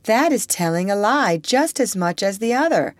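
A woman's voice: medium pitch, very animated, normal speed, dry recording, voice pushed up, natural voice. A woman voicing a strict, prim middle-aged aunt who scolds and exclaims as she reads a storybook aloud. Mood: puzzled. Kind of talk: storybook reading.

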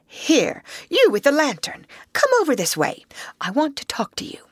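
A woman's voice: medium pitch, big pitch swings, slightly rough, no echo, pitched above the performer's own voice, full character voice. Woman voicing a hearty, masterful storybook water rat. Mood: masterful, brisk. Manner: commanding, brisk, approving.

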